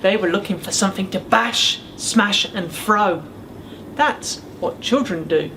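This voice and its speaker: A man reading his own picture book aloud as the author, in an expressive storytelling style for children. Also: high voice, a little slow, rough recording. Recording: faint background noise.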